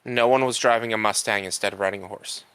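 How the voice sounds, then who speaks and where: A man's voice: lowish voice, fairly even intonation, quick pace, a bit bright, clean tone, no echo. A man co-hosting a podcast, talking casually and conversationally about films. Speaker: podcast co-host. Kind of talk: casual film discussion chat.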